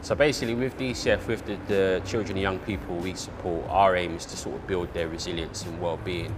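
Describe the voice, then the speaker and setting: A man's voice: low-pitched, fairly even intonation, brisk, noisy background. A man hosting a casual interview, asking questions in an easygoing, encouraging way. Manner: easygoing, encouraging with short replies. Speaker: interview host. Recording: music clearly underneath.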